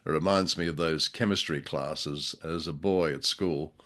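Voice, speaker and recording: A man's voice: a bass voice, moderate pace, fairly bright tone. An older man co-hosting a podcast in casual chat, with a droll, hesitant and wordy way of talking. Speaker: podcast co-host. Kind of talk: casual chat about old radio drama.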